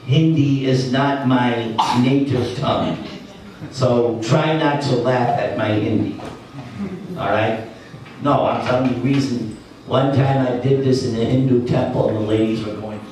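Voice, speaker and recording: A man's voice: mid-range voice, fairly even intonation, darkish tone, slight background noise. A man giving a devotional temple lecture, leading the audience phrase by phrase in call-and-response with a few light jokes. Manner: slow, deliberate phrase-by-phrase leading of repetition; light joking asides to the audience. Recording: echoey hall, distant mic, music behind.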